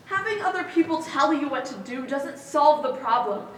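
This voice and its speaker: A woman's voice: high voice, lively intonation, normal speed. A woman playing an anguished, haunted young woman in a theatrical stage monologue. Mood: reflective, grave. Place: stage in a hall. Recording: echoey stage hall, faint noise.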